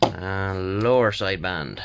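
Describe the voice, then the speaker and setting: An Irish man talking casually through a repair job, thinking aloud in an unhurried, colloquial way. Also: sits low, vocal fry. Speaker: radio repair technician. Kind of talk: casual spoken bench walkthrough. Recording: steady background noise, bench clicks.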